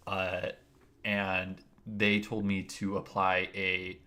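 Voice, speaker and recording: A man with a naturally deep voice, explaining his video editing steps one by one in a casual, rambling livestream. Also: monotone, slow pace, sharp tone. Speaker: streamer.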